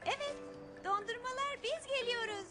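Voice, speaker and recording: A woman's voice: extremely high pitch, normal speed. A woman voicing an earnest, responsible young girl, a bossy big sister in a dubbed children's cartoon. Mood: bossy, scolding. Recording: loud music under the voice.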